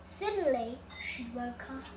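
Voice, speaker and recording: A child reading a children's bedtime story aloud with expressive, dramatic delivery. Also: high voice, unhurried. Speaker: storybook reader. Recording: roomy echo, loud enough background noise.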